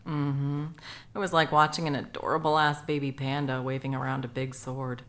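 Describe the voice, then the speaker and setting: A woman's voice: rumbling low, little pitch movement, normal speed. A woman playing a brash, sweary, bossy sound mage with a teasing fondness, in an intimate audio roleplay. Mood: teasing, fond. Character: brash, foul-mouthed, teasing female sound mage, secretly smitten. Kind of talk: intimate second-person audio roleplay acting.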